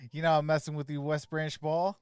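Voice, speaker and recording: A man's voice: on the high side, a little mellow, smooth, no echo, studio quality. A man hosting a sports livestream, mixing casual, friendly chat with viewers and informal play-by-play commentary. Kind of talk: casual live stream chat and game commentary.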